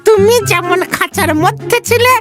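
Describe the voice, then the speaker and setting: A performer voicing a clever, teasing cartoon fox with a squeaky childlike voice and repetitive, drawn-out talk. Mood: smug, firm. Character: clever fox playing dumb, teasing and self-assured. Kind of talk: animated moral fable dialogue. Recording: music under the voice.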